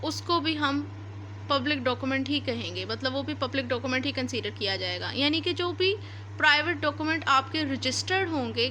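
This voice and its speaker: A woman's voice: high voice, sharp tone. A Pakistani woman giving an explanatory law lecture, restating each point in a didactic way. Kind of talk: explanatory lecture, reading clauses then explaining. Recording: faint background noise.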